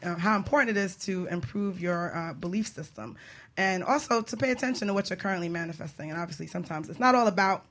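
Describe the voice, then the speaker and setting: Female radio host, bright forward voice, chatty and upbeat, informal talk-show delivery. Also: fairly low pitch, quick pace. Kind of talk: casual talk show hosting.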